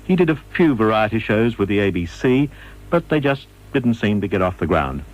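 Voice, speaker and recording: Man, lower pitch, lively intonation, scripted radio documentary narration. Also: normal speed, muffled recording.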